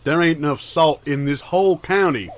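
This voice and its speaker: A man's voice: fairly high pitch, moderate pace, soft background music, lightly acted. A man playing a grumbling, muddled backwoods good old boy in improvised audio comedy, laconic and folksy.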